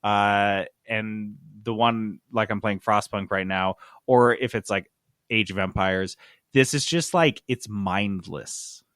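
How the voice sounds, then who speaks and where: A man with a fairly low voice talks in an informal, jokey podcast style. He sounds like a host keeping a casual chat moving, recorded dry with little room sound. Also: slow pace, a bit bright, no echo. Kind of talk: casual chat about games and candy.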